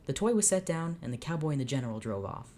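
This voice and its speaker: Low-voiced woman reading fanfic aloud. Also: very animated, fast talker, warm tone, slight background noise. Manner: does character voices, breaks off into sighs and exasperated asides. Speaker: fanfiction reader. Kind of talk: dramatic fanfic reading with reactions.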